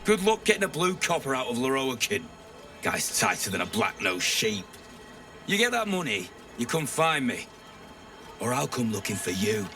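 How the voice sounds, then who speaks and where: A man's voice: mid-range voice, very animated, moderate pace. A man playing a brash, greedy, foul-mouthed rogue in a fantasy game, swaggering and irritable. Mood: wry, threatening. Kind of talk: video game voice acting. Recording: distant, loud music behind.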